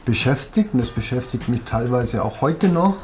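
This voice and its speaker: A man's voice: mid-range voice, animated, moderate pace, a little mellow, a little hiss or hum. A man in his forties with a Bavarian accent, telling a personal story bluntly and reflectively, with many fillers, filmed at close range on a sofa at home. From southern Germany. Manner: blunt, rambling, frequent fillers. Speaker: vlogger. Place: living room, seated on a leather sofa. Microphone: fixed camera at close range.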